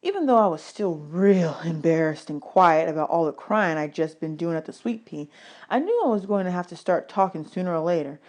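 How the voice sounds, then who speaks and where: A woman reading a children's novel aloud as a teacher, in an expressive storytelling style. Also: fairly low pitch, brisk.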